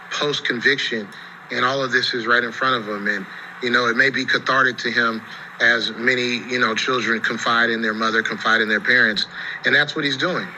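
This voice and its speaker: A man with an American accent and a very bright, sharp voice at a middle pitch gives legal commentary. He explains in a conversational, rhetorical way. Some noise sits behind the voice. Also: rough recording. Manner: explanatory, rhetorical questions, frequent "you know". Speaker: attorney, TV legal analyst.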